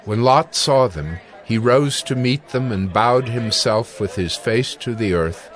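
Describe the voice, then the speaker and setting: A man's voice: mid-range voice, lively intonation, unhurried, some chatter behind. A man narrating scripture as a scripted dramatized reading.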